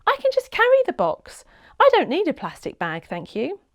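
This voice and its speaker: A woman's voice: high-pitched, huge pitch swings, moderate pace, no echo, studio quality, pitched above the performer's own voice, exaggerated voice. A woman voicing a cheeky, keen little girl in a storybook, with emphatic exclamations. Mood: polite, confident.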